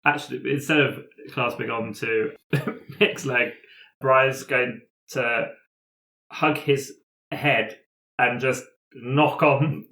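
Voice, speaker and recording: Guy describing his rpg character's actions, bright voice, makes it up as he goes, echoey room. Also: medium pitch, steady tone, takes it slowly. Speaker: player in a tabletop roleplaying game. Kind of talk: casual improvised play, describing character actions.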